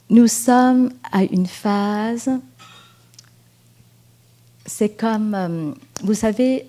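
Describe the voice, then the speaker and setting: A Buddhist nun from Vietnam speaking French with a Vietnamese accent, giving a gentle, unhurried dharma talk at a retreat, with pauses and fillers. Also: female voice, medium pitch. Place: meditation hall at a retreat.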